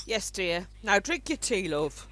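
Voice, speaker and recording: A woman's voice: mid-range voice, sing-song, a little slow, fairly bright tone, a little hiss or hum. An actress playing an anxious, caring older mother and grandmother in an amateur wartime radio drama, earnest and motherly. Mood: concerned.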